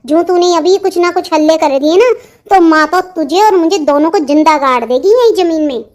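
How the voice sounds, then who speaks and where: A woman's voice: extremely high pitch, normal speed, a little mellow. A woman voicing a scheming, soothing young sister-in-law in a melodramatic Hindi cartoon, coaxing and placating the people around her. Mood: conspiratorial.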